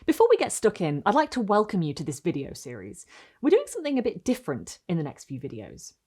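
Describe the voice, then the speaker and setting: A woman hosting and reading literary stories aloud in an expressive, theatrical style with deliberate phrasing. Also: medium pitch, husky. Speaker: host and reader of a literary video series. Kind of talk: dramatic storytelling, scripted narration.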